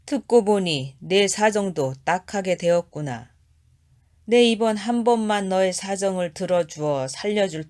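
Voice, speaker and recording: A stern, commanding king of the underworld from a storybook folktale, as a woman narrator takes him on, speaking with grave, measured authority. His voice is loud and lowish.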